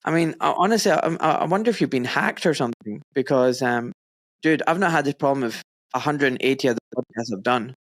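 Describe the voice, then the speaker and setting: A man's voice: mid-range voice, lively intonation, fast talker. A man hosting a podcast over a remote call, chatting casually and animatedly with friendly slang and laughter. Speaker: podcast host. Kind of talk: casual interview chat.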